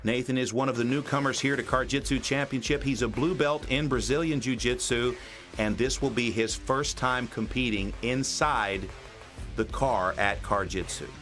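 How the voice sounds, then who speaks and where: A man calling a live grappling match as play-by-play commentator, excited and energetic. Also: medium pitch, moderate pace, soft background music.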